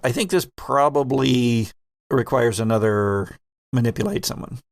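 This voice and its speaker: A man's voice: mid-range voice, lively intonation, measured pace, vocal fry, no echo. A man hosting as game master, narrating an improvised tabletop roleplaying game. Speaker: game master and podcast host.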